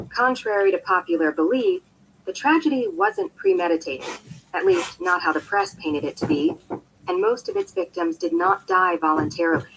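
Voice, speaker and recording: A woman reading a scripted nonfiction audiobook in an expressive, emphatic storytelling manner. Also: a little high, vocal fry. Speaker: audiobook narrator. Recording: poor amateur copy, faint background noise.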